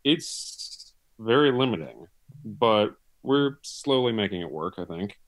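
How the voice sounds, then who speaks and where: A man's voice: on the low side, expressive, takes it slowly. A man in his twenties, a student performer, answering casually in a video-call interview. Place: living room, indoors. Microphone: computer mic on video call.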